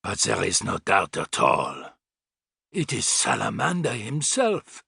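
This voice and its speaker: A man's voice: lowish voice, big pitch swings, takes it slowly, vocal fry, sharp tone, husky, no room sound, clean studio sound, exaggerated voice. A man voicing a tall, thin, grave man with a harsh German accent in an audiobook. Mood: grave disbelief. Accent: Teutonic (German).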